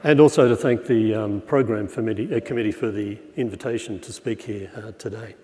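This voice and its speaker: A croaky older man speaks from a podium in a large auditorium, his somewhat low voice trailing long reverb through the hall. He lectures in a relaxed, wry way, padding his sentences with "uh" and "um". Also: fairly quick, a faint crowd, a little hiss or hum. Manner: relaxed, wry, uses many fillers. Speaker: plenary speaker. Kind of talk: conference lecture. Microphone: far room pickup, speaker at podium.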